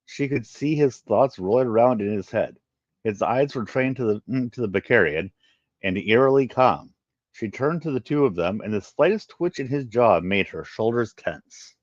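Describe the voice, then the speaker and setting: A man reading a science fiction story aloud, halting and stumbling over words now and then. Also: mid-range voice, expressive. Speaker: reader. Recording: muffled, roomy home recording.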